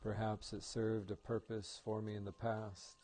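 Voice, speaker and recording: Man, deep-leaning relaxed tone, calm meditation guide, gentle instruction, music behind. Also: a little slow.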